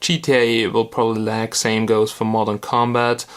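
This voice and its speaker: A man's voice: on the low side, monotone, moderate pace. A man giving a casual hands-on product review in an informal, matter-of-fact way. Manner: informal, matter-of-fact, occasional mild swearing. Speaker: tech reviewer and presenter. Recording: clearly noisy location recording.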